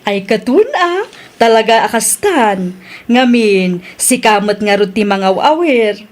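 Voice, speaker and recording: A woman's voice: fairly high pitch, lively intonation, measured pace. An actress with an Ilocano accent playing a fond mother and doting grandmother in a radio drama. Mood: fond, approving.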